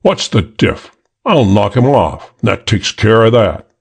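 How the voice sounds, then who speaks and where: A man's voice: on the low side, very animated, measured pace, gravelly, darkish tone, husky, heavily acted. A man voicing an ugly, hulking thug who blusters and snarls but is a coward underneath. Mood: blustering.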